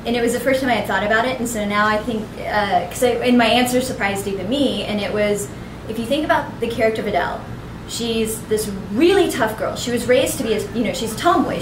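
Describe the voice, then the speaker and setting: A young woman with a bubbly, young-sounding voice, chatting in an animated way as an interview guest at a convention. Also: fairly high pitch, brisk. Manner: animated, chatty, fills with ums and restarts. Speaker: voice actress, guest. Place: busy convention hall. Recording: echoey, distant, noisy convention ambience.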